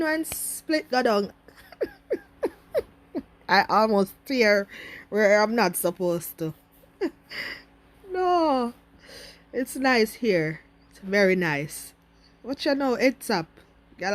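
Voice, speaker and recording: A woman's voice: a little high, big pitch swings, takes it slowly, long pauses, a bit bright. Chatty Jamaican woman vlogging at home. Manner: chatty and playful, breathless after exercise. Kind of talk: casual home workout vlog. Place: at home, in her bathroom.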